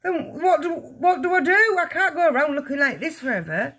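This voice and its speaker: A blustering middle-aged dad from a storybook, played by a woman reader, indignant and panicky. He yells and wails in exclamations, pitched high with wide swings. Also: animated, fairly quick. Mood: panicked, wailing. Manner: yelling, wailing, exclamatory. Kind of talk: children's storybook reading.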